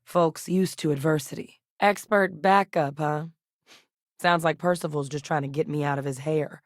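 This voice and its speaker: Low-voiced, determined woman scientist played by an actress. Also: fairly even intonation, moderate pace, no echo, studio quality, lightly acted. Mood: measured, determined. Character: serious, driven woman military scientist, dry and determined. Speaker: voice actress in a video game. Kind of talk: acted sci-fi game dialogue.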